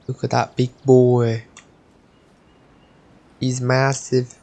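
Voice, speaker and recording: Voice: medium pitch, level tone, very slow, dark tone. A video game streamer giving casual gameplay commentary, thinking aloud and muttering with the odd swear word.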